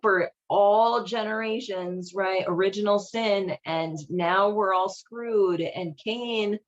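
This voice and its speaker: A woman giving casual, irreverent commentary as a host, with a sardonic, halting, colloquial delivery. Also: mid-range voice, muffled recording. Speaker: host of a video series on leaving religion.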